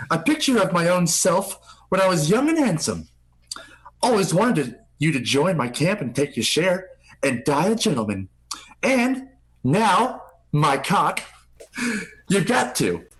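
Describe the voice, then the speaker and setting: A man's voice: high-pitched, rough recording. A man playing a menacing old pirate ringleader in a comedic read, with taunting, theatrical commands. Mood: wheedling, sly. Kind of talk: live comedic table read.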